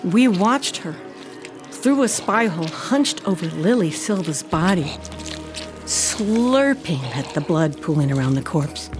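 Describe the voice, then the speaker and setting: An actress playing an earnest, proud housekeeper who tells a haunting tale in a deliberate, expressive way. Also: female voice, lowish voice, over music. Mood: haunted, grave. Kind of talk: dramatic audio-drama storytelling.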